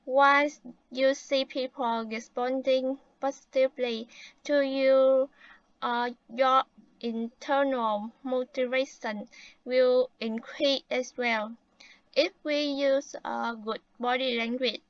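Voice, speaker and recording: A teenage girl with a Vietnamese accent acts out a polite guest on a talk show. Her voice sits high and moves slowly through rehearsed lines, with small hesitant fillers. The recording is dry and slightly muffled. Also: fairly even intonation, smooth, no room sound. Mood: earnest. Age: late teens. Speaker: student playing a guest from Thailand. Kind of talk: scripted classroom role-play.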